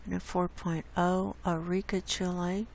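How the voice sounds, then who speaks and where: A hoarse woman hosting her own channel, walking casually through earthquake maps on screen and pointing out each spot with an unhurried, gravelly delivery. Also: fairly low pitch, level tone, darkish tone, slight background noise. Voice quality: gravelly, coughs and clears throat. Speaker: online science channel host. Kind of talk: casual screen-share data report. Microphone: desk mic.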